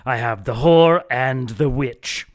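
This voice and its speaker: A man's voice: medium pitch, lightly acted. Man playing a cold, imperious church zealot. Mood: controlled menace. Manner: measured, imperious, menacing. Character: cold ruthless male church zealot leading a secret council. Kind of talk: audio drama acting.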